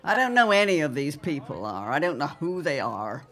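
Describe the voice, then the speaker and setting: An actress playing a grand, gossipy society matron with an arch, formal manner in a period audio drama. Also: female voice, low voice, very animated, brisk, fairly bright tone. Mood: arch, inquisitive.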